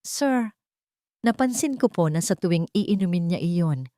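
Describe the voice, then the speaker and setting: A woman's voice: fairly low pitch, animated, a little slow, warm tone, no room sound, clean studio sound. A woman voicing a timid young housemaid in a storybook drama, hesitant and deferential. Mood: anxious, earnest. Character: timid, respectful young housemaid, anxious and earnest.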